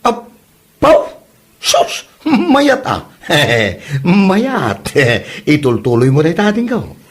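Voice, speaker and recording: Man playing slow, animated Ilocano pal. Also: high voice, breathy edge, exaggerated voice. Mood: amused. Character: easygoing older-brother-like male customer, amused and approving. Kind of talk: comedic drama dialogue.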